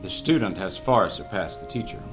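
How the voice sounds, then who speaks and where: A man playing a gentle, older painter and mentor in an audio drama read-through, unhurried, wry and caring. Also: low voice, expressive. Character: gentle old painter and mentor, cafe owner. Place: cast read-through in a room. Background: music underscore.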